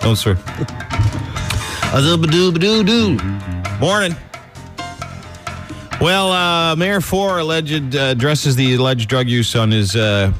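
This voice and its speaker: A man's voice: fairly high pitch, unhurried, long pauses. Brief: enthusiastic male morning-radio co-host, rambling, laughing, studio mic, faint music. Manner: excited, rambling, laughing storyteller. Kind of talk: casual morning radio chat. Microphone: studio microphone.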